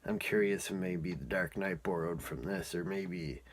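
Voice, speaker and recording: A man's voice: sits low, moderate pace. A man casually reviewing and recapping a cartoon episode in a rambling, informal, amused way.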